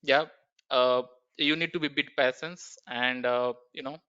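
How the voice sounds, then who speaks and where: A man runs an online training call. His voice is bright and ringing, and it comes through crisp, though the call audio dulls it. He talks haltingly and hands the floor to each student in turn. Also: medium pitch, steady tone. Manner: halting, many fillers, hands turns to students. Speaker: SAP trainer. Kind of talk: online class introduction. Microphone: video call. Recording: dull, muffled video call audio.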